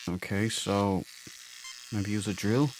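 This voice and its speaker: A man's voice: fairly low pitch, fairly even intonation, takes it slowly, a crowd in the background, low-quality recording. A man giving casual, jokey let's play commentary, often muttering to himself. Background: video game music.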